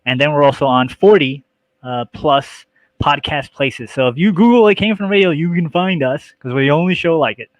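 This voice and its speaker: A middle-aged man talking casually as an interview guest, explaining details in a halting, list-like way. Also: a little high, lively intonation, no room sound, low-quality recording. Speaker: guest, radio show host.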